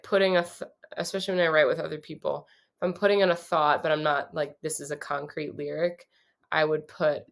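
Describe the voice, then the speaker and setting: A young woman with a low voice, talking through her songwriting process in a relaxed, casual way with many pauses. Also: vocal fry. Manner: relaxed, thinking aloud with many ums.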